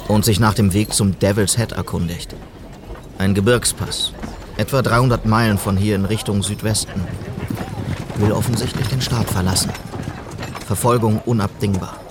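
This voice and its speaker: An actor playing a dutiful, earnest police sergeant in a German radio western, speaking in clipped, terse phrases. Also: male voice, lowish voice, noisy background. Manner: clipped, terse log-entry phrasing, sober and determined. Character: dutiful, earnest male police sergeant in 1890s frontier America.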